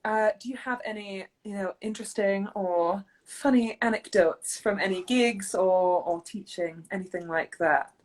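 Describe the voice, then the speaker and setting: A woman's voice: medium pitch, a little slow, hardly any pauses, poor audio. A woman hosting a podcast interview, chatting in a friendly, agreeable way and laughing often. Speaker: podcast host, vocal coach. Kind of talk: casual interview chat.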